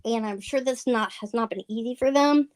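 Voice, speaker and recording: A woman's voice: a little high, a little fast, darkish tone. A woman guest in a casual livestream chat, talking in an earnest, rambling way full of fillers.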